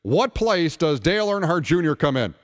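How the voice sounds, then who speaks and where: A man's voice: on the high side, big pitch swings, moderate pace, slight background noise. A man with an American accent chatting casually and informally about sports as a radio host in a studio. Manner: conversational, informal. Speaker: radio talk show host. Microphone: studio microphone.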